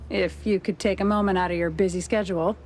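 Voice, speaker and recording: A woman's voice: on the low side, steady tone, quick pace, a little mellow. A woman playing a sly, coaxing cartoon mouse newswoman in a 1930s noir game, arch and teasing.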